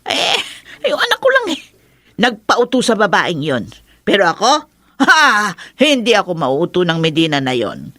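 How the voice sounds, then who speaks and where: Older Filipino mother-in-law for radio drama: spiteful, melodramatic, sharp forceful tone, swooping pitch. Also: wildly swooping pitch, moderate pace, heavily acted. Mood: spiteful, scornful. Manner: scornful, exclamatory, melodramatic. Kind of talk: Philippine radio drama acting.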